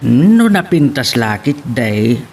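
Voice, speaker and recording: A man's voice: mid-range voice, sing-song, takes it slowly, a little mellow, a little hiss or hum. A man playing a caring older father advising his grown son in an audio drama, with a Filipino accent. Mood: questioning. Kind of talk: Ilocano audio family drama acting.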